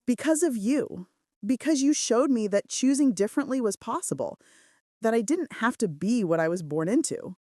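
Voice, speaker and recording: A woman's voice: a little high, expressive, normal speed, mellow, no echo, clean studio sound. A woman voicing an earnest, devoted male mafia boss in love in a romance audiobook. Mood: earnest, grateful.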